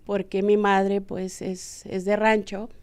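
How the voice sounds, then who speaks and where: A woman's voice: mid-range voice, little pitch movement, slow, a little mellow, clear, resonant tone. A woman in her forties from the Texas border, telling her story casually and humbly as an interview guest, with hesitant fillers. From Texas border region, Mexican family. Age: 40s.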